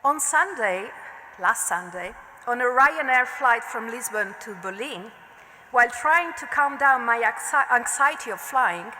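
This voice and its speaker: Woman, Italian accent, bright and clear timbre, fairly high voice, measured academic lecture, long hall reverb. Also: lively intonation. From Italy. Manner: measured, deliberate delivery with occasional slips and fillers. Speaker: art history researcher giving a conference lecture. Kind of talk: reading a prepared academic lecture.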